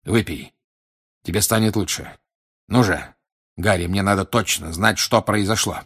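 Man as a grim, menacing older wizard, low and husky. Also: steady tone, a bit bright, heavily acted. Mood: coaxing. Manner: insistent, deliberate, menacing questioning. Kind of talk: audiobook character acting.

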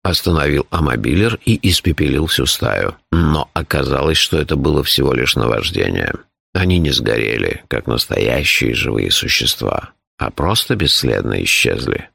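A man voicing an imperturbable, phlegmatic male companion in an audiobook, speaking in a calm, measured, deadpan and formal way. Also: a bass voice, gravelly, slightly rough, dry recording, voice pushed down, full character voice. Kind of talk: audiobook character voice.

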